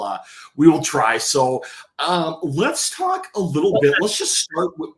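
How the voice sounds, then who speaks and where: A man hosting a podcast, introducing a guest in a halting way with many ums and uhs. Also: medium pitch, fairly bright tone. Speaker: podcast host. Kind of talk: casual interview hosting. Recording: echoey room.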